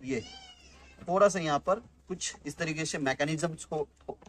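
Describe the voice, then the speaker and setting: A man's voice: a little high, moderate pace. A man in his thirties, a vlogger chatting casually while he unboxes and shows camping gear, with many fillers and restarts. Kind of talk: casual product unboxing vlog. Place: indoors at home, later on an open rooftop outdoors.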